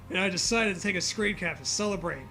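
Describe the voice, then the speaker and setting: A man's voice: high voice, normal speed, gravelly, soft background music, poor audio. A man hosting a dramatic reading of a story, mixed with crude, joking commentary. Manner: profane, jokey, animated reactions.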